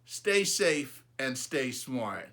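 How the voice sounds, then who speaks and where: A man reading his own children's book aloud as an expressive, emphatic storyteller. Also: medium pitch, extremely slow.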